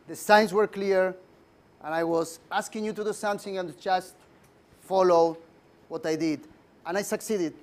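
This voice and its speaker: A middle-aged man from Argentina with an Argentine Spanish accent, a native Spanish speaker, giving an emphatic leadership lecture with frequent "uh" pauses. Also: high-pitched. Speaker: guest speaker. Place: lecture room.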